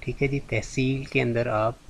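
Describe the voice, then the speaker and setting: A man's voice: mid-range voice, steady tone, unhurried, gravelly, a little hiss or hum. A man giving a patient, step-by-step screen-recorded tutorial as a channel host. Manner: patient, step-by-step, repeats "theek hai ji".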